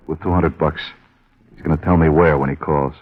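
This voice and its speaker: An actor playing a troubled working-class man ashamed of his brother, speaking plainly and haltingly in 1950s radio drama style. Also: a bass voice, fairly even intonation. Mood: resigned, reluctant. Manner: plain-spoken, halting, heavy-hearted. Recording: dull vintage radio recording.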